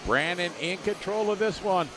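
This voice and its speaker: A man calls a radio-controlled car race live from trackside, his voice sitting a little high. He rattles off positions and gaps and greets viewers in between. Engine noise and the sounds of an outdoor track run behind him. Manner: rapid play-by-play, reads viewer chat aloud. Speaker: race commentator.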